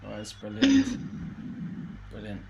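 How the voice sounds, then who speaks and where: A man hosting a casual live interview, relaxed and encouraging toward his guest. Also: lowish voice, takes it slowly, creaky, lo-fi sound, a crowd in the background. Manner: relaxed, encouraging, says "bless you" often. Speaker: host. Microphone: video call.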